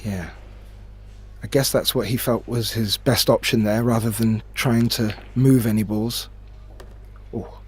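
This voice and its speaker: A man giving conversational live pool commentary in measured phrases, often repeating a word as he thinks. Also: on the low side, steady tone, husky, faint music behind. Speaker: sports commentator. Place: remote commentary from his own location.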